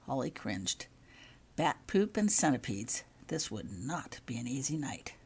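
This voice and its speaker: A woman narrating fiction in an expressive storybook style, reading as the author of the tale. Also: a bass voice, measured pace, vocal fry, slightly rough.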